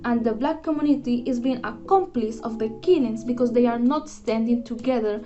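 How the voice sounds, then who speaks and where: A woman's voice: a little high, a little fast, dark tone. A young woman, a student giving a prepared book review in an earnest, careful way with a few restarts. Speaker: student presenter. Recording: dull lo-fi voice with music behind. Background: guitar music.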